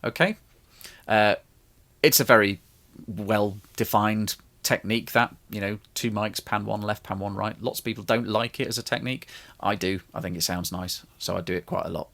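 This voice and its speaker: A man's voice: on the low side, fairly even intonation, moderate pace, creaky, fairly bright tone. A man casually explaining his home studio mixing process, in a loose, rambling way with many restarts. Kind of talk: casual tutorial talk.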